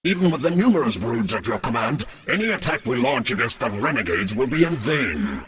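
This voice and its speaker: A man's voice: medium pitch, sing-song, brisk, crowd noise, lightly acted. A man playing a deferential military lieutenant in a sci-fi game, reporting formally to his queen. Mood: doubtful deference. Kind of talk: dramatic sci-fi game voice acting.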